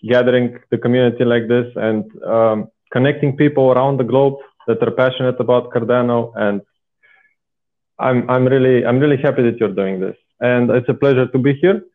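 A man's voice: mid-range voice, steady tone, moderate pace. Phone-like young Bulgarian-accented man, enthusiastic. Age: 20s. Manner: enthusiastic, emphatic. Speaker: podcast guest. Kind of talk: casual interview talk, personal storytelling. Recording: phone-like, thin internet call audio.

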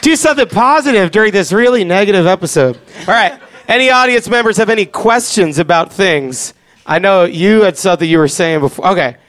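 High-voiced joking man hosting live. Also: animated, brisk, a bit bright. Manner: jokey, sarcastic. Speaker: podcast host. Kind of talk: casual joking chat with a live audience. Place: room with a live audience.

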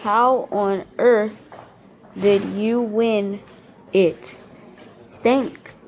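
A woman's voice: mid-range voice, steady tone, very slow, a little mellow, slight background noise. A young female performer playing a confident, friendly, teasing schoolgirl in an amateur school radio play. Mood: pleased.

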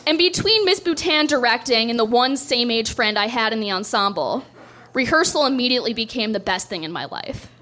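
A woman's voice: on the high side, expressive, a little fast, sharp tone, a little hiss or hum. A young woman doing a stand-up set, telling a story in a dry, self-deprecating way on a club stage. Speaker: stand-up comedian.

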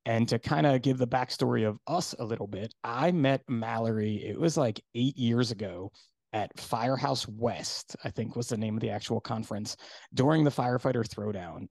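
A middle-aged man from New Jersey hosting a podcast in casual chat, hoarse today and rambling with fillers. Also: medium pitch, normal speed, a little mellow, dry recording. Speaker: podcast host.

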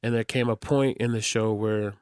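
Slangy man podcast host, smooth, dry sound. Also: lowish voice, steady tone, normal speed, dry recording. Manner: slangy, emphatic, often seeks agreement. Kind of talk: casual chat.

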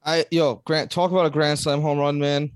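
Male co-host, fairly high voice, teasing storyteller, dry sound. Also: little pitch movement, normal speed, no echo. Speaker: podcast co-host. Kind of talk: casual fantasy sports chat.

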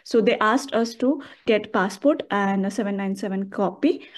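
A woman calling for advice, explaining her situation haltingly, a few words at a time. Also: mid-range voice. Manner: halting, phrase by phrase. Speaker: caller seeking legal advice. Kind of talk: explaining her case on a call.

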